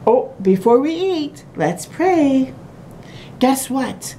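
A middle-aged woman reads a children's picture book aloud in a mellow, rounded voice. She speaks in simple, sing-song phrases aimed at small children and adds little asides. The home recording has noticeable background noise and a low rumble. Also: sing-song, extremely slow. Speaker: children's storytime reader. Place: small room at home, by a window.

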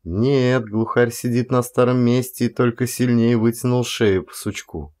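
A male audiobook narrator with a mid-range voice performs a first-person tale. His delivery is expressive and theatrical, varied from phrase to phrase, with deliberate pauses. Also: mid-range voice.

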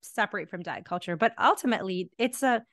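A woman's voice: mid-range voice, expressive, quick pace, dry recording. Woman host, dry close microphone. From India, moved to Canada at ten. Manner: conversational, affirming, trailing off softly. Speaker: podcast host, physiotherapist. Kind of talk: casual interview chat. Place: home room, indoors. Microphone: large studio microphone in front.

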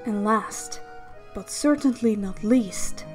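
A woman voicing a young, encouraging and teasing female army tactician in a fantasy game fan dub, with expressive delivery. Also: mid-range voice, takes it slowly, soft background music, natural voice.

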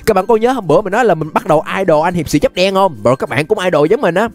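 A man's voice: high-pitched, expressive, racing, soft background music. A young man with a Southern Vietnamese accent giving casual, playful, exclamatory gameplay commentary as a YouTube host. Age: young adult. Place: indoor room, webcam setup.

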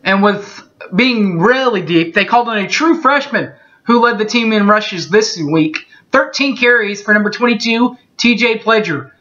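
A man in his thirties reading through postgame football stats from his bedroom, steady and methodical, with small slips he corrects as he goes. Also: sits high, normal speed. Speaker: sports fan recapping a game. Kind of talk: webcam postgame stats recap. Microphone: webcam mic. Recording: dull lo-fi webcam audio.

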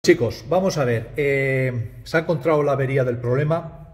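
A man's voice: mid-range voice, moderate pace. A middle-aged man, an electrician casually explaining his work to viewers and repeating words as he goes. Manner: talks to viewers as "chicos", repeats words, frequent "¿vale?". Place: small indoor restaurant back room. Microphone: body-worn camera, first-person view.

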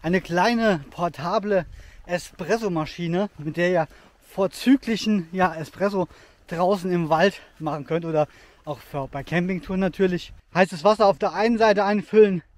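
High-voiced chatty man hiking outdoors. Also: normal speed, darkish tone, no echo. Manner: chatty and enthusiastic, with frequent fillers. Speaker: outdoor gear vlogger. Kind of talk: casual hiking vlog with gear reviews. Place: rocky forest outdoors.

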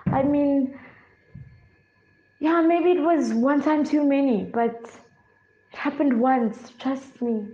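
Casting: actress as guilty, placating twin sister, slow halting apologies, melodrama, echoey room sound. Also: female voice, high voice, warm tone. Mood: apologetic, pleading. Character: guilty, pleading twin sister seeking forgiveness. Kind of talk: melodramatic audio-drama acting. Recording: echoey room, slightly muffled.

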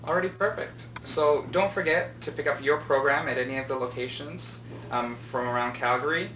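A man's voice: medium pitch, moderate pace, bright, forceful tone. A man in his twenties casually presenting and interviewing a guest. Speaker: interview host. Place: small office, indoors. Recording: roomy, distant mic, faint noise.

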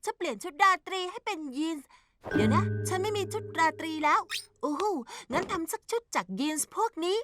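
Very high, naive teenage cartoon girl. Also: expressive, a little fast. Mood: airy, agreeable. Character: sweet, naive, easygoing teenage girl, eager to please. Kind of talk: Thai cartoon dubbing. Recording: music behind the voice.

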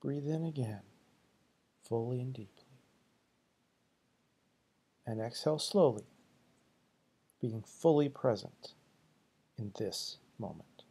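A man leading a guided meditation in slow, deliberate, soothing short phrases, with a husky, gravelly voice. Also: mid-range voice, expressive, warm tone.

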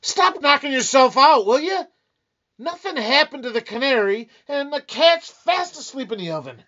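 A man playing a groggy, grumpy husband in a radio-style comedy, tossing off deadpan wisecracks. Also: extremely high pitch, normal speed, heavily acted. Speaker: community theater actor. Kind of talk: old-time radio comedy reading. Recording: dull lo-fi recording.

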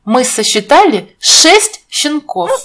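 A woman presents a counting lesson for small children. Her voice is on the high side and swings widely in pitch, and she speaks slowly. She sounds like an encouraging teacher, turning to her young viewers with questions. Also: very animated, background noise. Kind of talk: educational narration for young children.

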